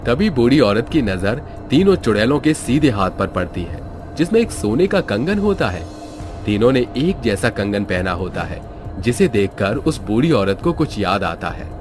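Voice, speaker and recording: A man with a somewhat low voice narrates a spooky cartoon tale in a steady, even storytelling manner. Music runs underneath his reading. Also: normal speed.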